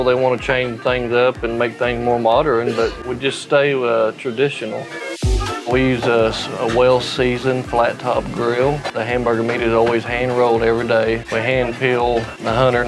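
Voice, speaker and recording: A man's voice: mid-range voice, level tone, normal speed, rough recording. A man, son of a diner owner, talking plainly and steadily in an interview inside a busy diner. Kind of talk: casual interview. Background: music.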